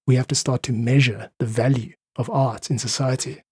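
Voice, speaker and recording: Husky South African man in earnest conversation. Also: medium pitch, fairly even intonation, moderate pace, dark tone, no echo. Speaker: podcast guest, independent musician. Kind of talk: reflective interview conversation.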